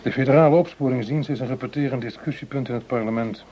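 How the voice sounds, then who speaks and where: A cautious, calculating government minister played by a male radio actor speaks in a mid-pitched voice. His phrasing is formal and deliberate, as in a confidential political talk. The radio play recording has clear background noise. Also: lo-fi sound, lightly acted. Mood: grave, measured.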